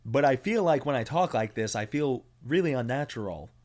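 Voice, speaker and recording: A man's voice: mid-range voice, animated, normal speed, darkish tone. A young man hosting a solo podcast from home, rambling and mumbling with little jaw movement, often restarting his sentences. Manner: frequent ums and self-corrections. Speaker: podcast host.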